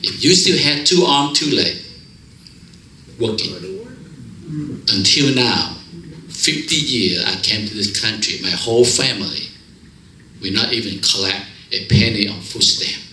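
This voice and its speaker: A man's voice: on the low side, unhurried, pauses often. Vietnamese-accented guy in his 50s telling his story, jokey, echoey room, faint hiss behind. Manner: broken English, joking, lively storytelling. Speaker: guest speaker. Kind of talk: personal testimony speech. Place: church fellowship hall.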